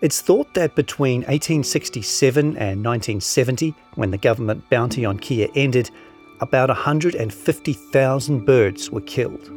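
A New man narrates a long-form article in a mid-pitched voice. His delivery is scripted reading, with music clearly playing behind him.

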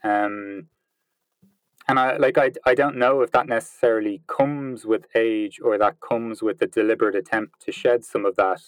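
Thoughtful man in his thirties, slightly low voice. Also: fairly even intonation, moderate pace, smooth, no echo. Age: 30s. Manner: thoughtful, hesitant, many restarts and fillers. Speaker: interview guest. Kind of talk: reflective conversational interview.

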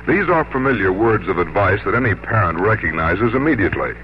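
A man's voice: on the low side, sing-song, a little fast, a little hiss or hum. An American man working as a radio announcer, reading scripted sponsor copy in 1950s broadcast style. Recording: dull lo-fi 1950s radio, music behind. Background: music.

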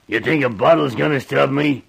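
A man's voice: medium pitch, brisk, gravelly, fairly bright tone, husky, exaggerated voice. An actor playing a menacing, gleefully brutal henchman in a 1950s radio crime drama, taunting in tough-guy slang on an old, noisy recording.

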